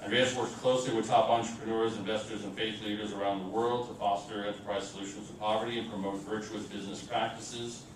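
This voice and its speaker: A man reading a formal, scripted introduction as moderator of an event, in a measured way. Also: level tone. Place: indoor event room. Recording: boomy echoing room, distant mic, noisy rumble.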